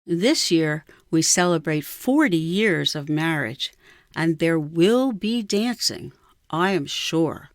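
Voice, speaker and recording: An older woman reading her own personal story aloud in an expressive, measured storytelling style. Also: low voice. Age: 60s or older. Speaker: writer reading own memoir. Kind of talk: scripted personal narration, storytelling.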